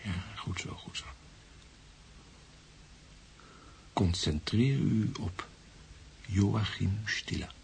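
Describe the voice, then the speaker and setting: A probing psychiatrist in a radio play. His low, fry-edged voice is calm and measured, guiding with clinical patience over a faint hiss. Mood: soothing. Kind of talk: Dutch-language radio play acting.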